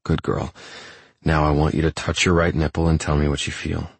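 An audiobook romantic lead acted by a man, gruff, blunt and protective of the one he adores. The voice is deep and husky, recorded very dry. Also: monotone, a little fast, dry recording, full character voice. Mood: seductive, commanding. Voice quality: husky, low. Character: smitten, protective, blunt-spoken gruff male lover. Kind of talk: audiobook character dialogue.

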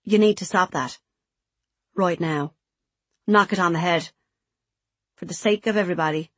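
A woman's voice: lowish voice, moderate pace, a bit bright, no echo. A woman voicing a stern, watchful, knowing character in an audiobook story, blunt and insistent.

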